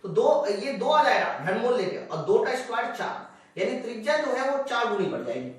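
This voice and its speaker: A man's voice: very high-pitched, very animated, moderate pace. A man teaching an exam class conversationally, asking questions and answering them himself while addressing students directly. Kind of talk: live classroom-style lesson, working through physics problems. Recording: very echoey room, distant microphone.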